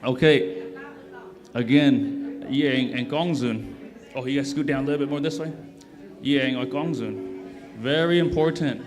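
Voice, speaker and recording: A man's voice: medium pitch, a little slow, long pauses, in a large hall, over music. A man leading a church service, speaking casually and encouragingly to the congregation and repeating himself often. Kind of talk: addressing a congregation.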